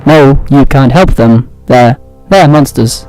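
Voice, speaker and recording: A man's voice: mid-range voice, slow, a little mellow, faint music behind, close to an everyday voice, rough recording. A man playing a regretful, dutiful part-android astronaut in a fan audio drama, speaking in a clipped, earnest way. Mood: troubled.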